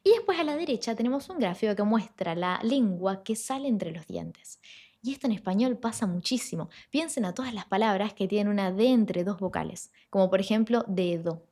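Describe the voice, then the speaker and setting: A woman giving an online lesson as an Italian teacher, explaining sounds clearly and slowing down to model them. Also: medium pitch, lively intonation, dark tone.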